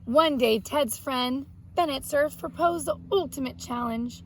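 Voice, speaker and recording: A woman's voice: high-pitched, measured pace, slight background noise. A woman speaking as an elementary teacher to young children, reading aloud and explaining things simply, step by step. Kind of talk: read-aloud and children's art lesson.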